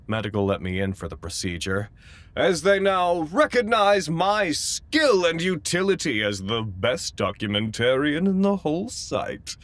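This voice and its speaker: An actor playing a fussy, self-important documentarian narrating a measured first-person log in an audio drama. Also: male voice, fairly low pitch, fairly bright tone, heavily acted. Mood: smug, measured.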